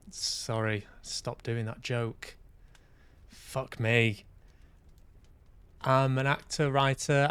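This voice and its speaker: A man's voice: medium pitch, smooth, no echo. A man with an English accent hosting a casual livestream, rambling with dry, self-deprecating humour. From Manchester, England. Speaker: podcast host and streamer.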